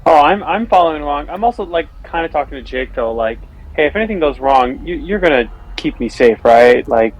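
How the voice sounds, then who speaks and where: A man's voice: a little high, lively intonation, a little fast, few pauses, poor audio. A man playing a tabletop role-playing game, casually talking as his character.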